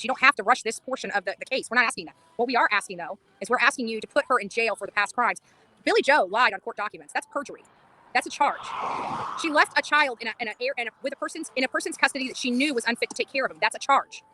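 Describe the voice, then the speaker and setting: Woman, street livestreamer, very fast delivery, bright forceful voice, insistent, repeats herself. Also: on the high side, no room sound. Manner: insistent, repeats phrases for emphasis. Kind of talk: live street commentary, impassioned monologue. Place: outdoors on a residential street.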